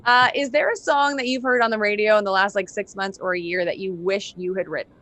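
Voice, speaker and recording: A woman's voice: medium pitch, steady tone, fairly quick, a bit bright. A woman hosting a casual entertainment interview. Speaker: show host, interviewer.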